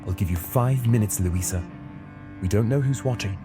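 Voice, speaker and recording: An actor playing a cautious, terse police inspector on guard, clipped and secretive, in an audio drama. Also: male voice, lowish voice, moderate pace, over music, natural voice. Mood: wary.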